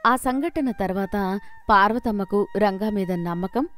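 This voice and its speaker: Lady telling a village moral story, normal pitch, soft music underneath. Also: unhurried. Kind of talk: scripted folk tale narration.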